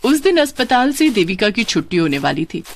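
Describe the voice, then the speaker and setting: Animated woman storyteller. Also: medium pitch, brisk. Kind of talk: scripted dramatic narration of a moral cartoon story.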